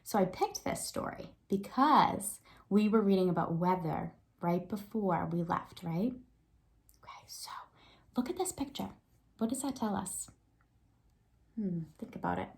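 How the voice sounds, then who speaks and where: A woman's voice: medium pitch, animated, unhurried, creaky. A woman, an elementary teacher giving a read-aloud lesson to young children and thinking aloud with prompting questions.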